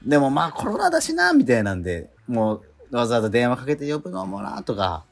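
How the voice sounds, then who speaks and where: A middle-aged man hosting a radio show, chatting in a relaxed, rambling, self-deprecating way. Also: medium pitch, fast talker, gravelly. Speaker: radio host. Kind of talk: casual radio chat.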